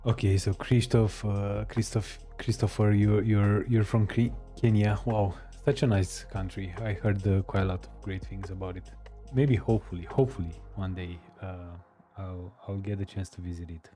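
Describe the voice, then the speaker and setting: A man hosting a live stream, chatting casually with viewers, hesitant with many pauses and fillers. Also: on the low side, fairly even intonation, mellow. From Romania. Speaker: live-streaming coding host. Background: faint music.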